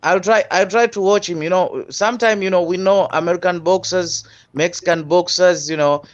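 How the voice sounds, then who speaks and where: Man, fairly high voice, halting talk with many fillers, muffled lo-fi call audio. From Tanzania. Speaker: guest panelist. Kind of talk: casual boxing discussion.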